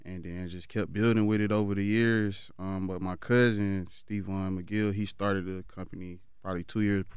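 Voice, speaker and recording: A man in his thirties from Florida, a football trainer, talking casually as an interview guest in a laid-back way that trails off into low murmurs. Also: flat delivery, normal speed, hardly any pauses, smooth, dry recording, studio quality. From Fort Lauderdale, Florida.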